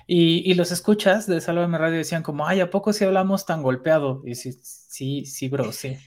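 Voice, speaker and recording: A woman's voice: deep voice, moderate pace, a little mellow. A woman from Mexico City with a Mexico City Spanish accent, co-hosting a casual podcast chat, chatty and laughing often. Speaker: podcast co-host.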